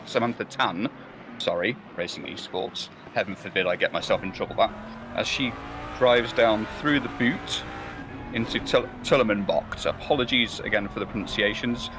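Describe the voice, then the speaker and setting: Low-voiced man doing race commentary. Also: noisy background. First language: not German or Belgian. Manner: rambling, hesitant with many fillers and restarts. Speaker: sim racing commentator.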